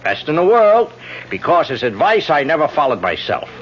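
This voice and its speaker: An old, cynical politician who sounds folksy and chuckles often. His voice sits fairly high and swings widely in pitch. The broadcast sound is dull and vintage. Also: sing-song, normal speed, a little hiss or hum. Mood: wistful. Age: older. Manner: folksy, chuckling, world-weary. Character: aging male congressman, charming, cynical, self-mocking. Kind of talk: 1970s radio drama acting.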